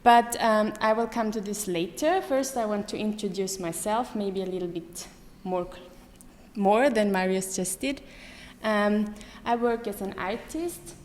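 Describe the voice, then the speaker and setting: A woman in her thirties with a German accent, giving a live lecture to an audience, pausing often with many ums and restarts. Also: mid-range voice. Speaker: artist giving a talk. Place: lecture hall. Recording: echoey hall with faint room noise.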